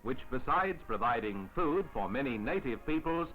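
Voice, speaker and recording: A man narrating a 1950s newsreel in a formal, measured announcer style on an old film soundtrack. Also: mid-range voice, expressive, narrow, tinny audio. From Australia. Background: music.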